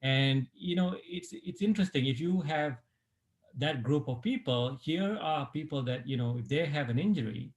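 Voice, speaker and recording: A middle-aged man from Malaysia, a sports medicine doctor, recounting his life story as an interview guest in a relaxed way with many fillers and restarts. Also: on the high side, normal speed.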